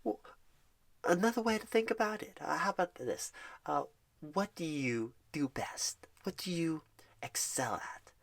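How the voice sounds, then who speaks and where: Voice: medium pitch, animated, a little slow, no echo. Folksy sweet ASMR job recruiter. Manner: folksy, sweet, calls the listener darling and honey; drops to soft whispers at times. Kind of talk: ASMR roleplay phone screen. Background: keyboard typing.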